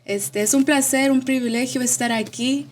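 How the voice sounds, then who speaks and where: A woman in her twenties from Austin, Texas, giving casual personal testimony as a guest, halting and slipping in English words. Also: high-pitched, fairly even intonation, slight background noise. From Austin, Texas, of Mexican parents. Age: 20s. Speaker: podcast guest.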